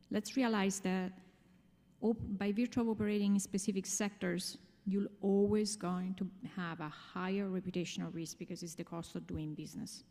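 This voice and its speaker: A woman's voice: fairly low pitch, dark tone. A woman with an Italian accent giving an explanatory, emphatic conference presentation, often checking in with rhetorical questions. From Italy. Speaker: conference speaker. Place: large conference room, speaking to an audience. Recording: long hall reverb.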